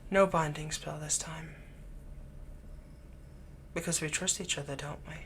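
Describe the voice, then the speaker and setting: A man playing a possessive young fantasy villain in an ASMR roleplay, tenderly soothing a captive in a soft voice that often turns breathy. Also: fairly high pitch, steady tone, a little slow, a little hiss or hum. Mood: gentle, reassuring. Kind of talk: ASMR roleplay, intimate scripted monologue.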